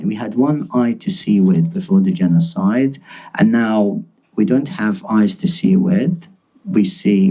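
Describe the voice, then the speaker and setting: A young man from Gaza in his twenties talks earnestly on a live panel. His voice sits low, dark and a little husky. He presses his points and often repeats words while finding the next one. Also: poor audio. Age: 20s. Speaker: guest journalist.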